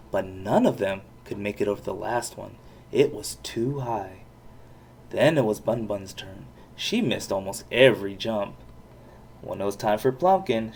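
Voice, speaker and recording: A parent reading a children's storybook aloud with expressive narration. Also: medium pitch, a little hiss or hum.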